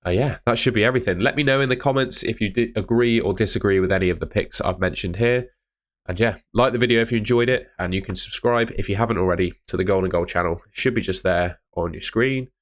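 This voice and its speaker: A man, hosting a fantasy football channel, talking casually to camera, his voice croaky from shouting, chatty and thinking aloud with asides. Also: lowish voice, fast talker.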